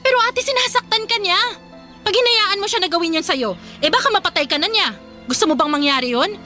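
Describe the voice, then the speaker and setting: A woman's voice: very high voice, animated, brisk, bright, forceful tone, soft background music, exaggerated voice. A woman playing a worried, indignant younger sister in a radio drama, confronting and pleading in an earnest, accusing way. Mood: pleading, reproachful.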